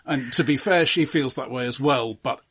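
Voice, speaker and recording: A man co-hosting a casual film podcast, talking in a measured, analytical and somewhat halting way. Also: medium pitch, a bit bright, slightly rough.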